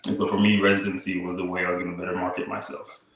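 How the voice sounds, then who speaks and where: A man from Haiti in his thirties speaks as a podcast guest, his voice sitting very low. He talks in a relaxed, casual way, strung with easygoing fillers, and the room around him rings with a strong echo. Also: very fast, slight background noise. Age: 30s. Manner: relaxed storytelling, frequent "like" and "kinda" fillers. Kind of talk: casual interview chat. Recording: echoey room.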